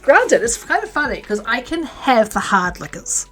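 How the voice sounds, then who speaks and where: A woman's voice: on the high side, sing-song, normal speed, soft background music. Chatty woman from New Zealand. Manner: chatty, rambling, reads out and answers chat; muttering stitch counts under her breath. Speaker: crochet livestream host. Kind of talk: casual chat while crocheting.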